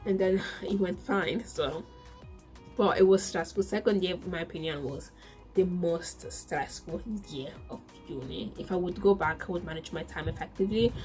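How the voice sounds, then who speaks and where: A woman's voice: fairly low pitch, moderate pace, a little mellow, faint music behind. A woman in her twenties with an Italian accent, whose first language is Italian, telling a casual story to camera in a chatty way that repeats itself. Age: 20s. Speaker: vlogger.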